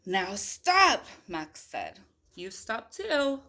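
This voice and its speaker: A woman's voice: medium pitch, very animated, very slow, sharp tone. A woman reading a picture book aloud in a playful, chatty way, with casual asides to young children. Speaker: storybook reader.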